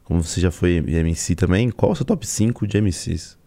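A man's voice: deep voice, a little fast, creaky, warm tone. A man with a Brazilian accent hosting a casual podcast, reacting briefly and asking questions in an informal way. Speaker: podcast host. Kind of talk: casual interview chat.

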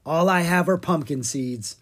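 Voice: mid-range voice, measured pace, fairly bright tone, pitched above the performer's own voice. A storybook reader voicing a grumpy, self-important male pumpkin king in a huffy, boastful, theatrical manner. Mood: proud, bargaining. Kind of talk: animated children's storybook character reading.

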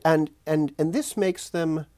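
A man's voice: fairly high pitch, slow pace, dark tone, dry recording. A man speaking as an erudite guest scholar in an interview, explaining things with careful qualifications and self-corrections.

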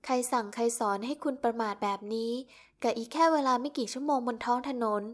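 A woman's voice: on the high side, fairly quick, lightly acted. A woman reading as a curt young male doctor. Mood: scolding. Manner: cold, scolding, clipped.

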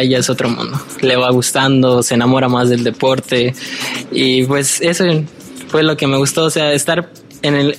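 Young Mexican man over music, casually answering. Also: mid-range voice. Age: early 20s. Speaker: student athlete, interview guest.

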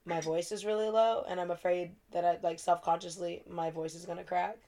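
A woman's voice: lowish voice, fairly even intonation, a little fast, dark tone. Laughing young woman podcast co-host. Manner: laughs often, swears casually. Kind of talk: casual chat.

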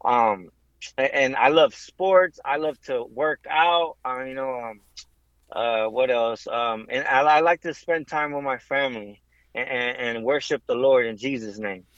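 A man in his thirties from Southern California, a podcast guest telling his faith story in a halting way, with many ums and restarts. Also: mid-range voice, a bit bright, no echo, dull audio. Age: 30s. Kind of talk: casual faith testimony.

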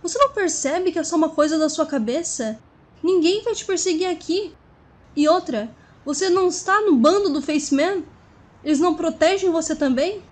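A woman's voice: very high-pitched, expressive, moderate pace. A woman acting in an audio drama as an earnest, hopeful friend who persuades and pleads. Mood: pleading, hopeful.

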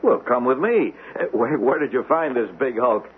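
An actor playing a hearty, excitable husband in a 1970s radio drama, informal and exclamatory. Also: male voice, medium pitch, moderate pace, lightly acted. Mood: hearty, excited. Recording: noisy, tinny old radio broadcast recording.

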